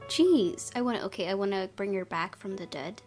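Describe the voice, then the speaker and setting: A woman's voice: medium pitch, animated, a little fast. A young woman giving let's-play commentary on a visual novel, reacting emotionally and talking to herself as she plays. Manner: emotional, reacts loudly and talks to herself while playing. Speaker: gaming YouTuber. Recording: music playing from the game behind.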